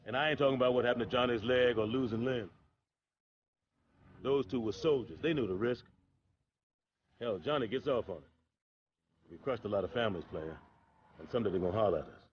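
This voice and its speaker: A man's voice: fairly low pitch, natural voice. Man as a lo-fi, assured gang boss. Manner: measured, streetwise, mentor-like. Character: assured, fatherly male street gang boss. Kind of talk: dramatic monologue. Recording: dull lo-fi sound, faint noise.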